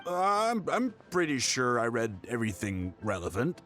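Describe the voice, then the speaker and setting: A man's voice: mid-range voice, sing-song, normal speed, a bit bright, faint music behind. A man playing a shady, blustering tabloid boss in a video game, stammering and sputtering with indignation. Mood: awkward, flustered.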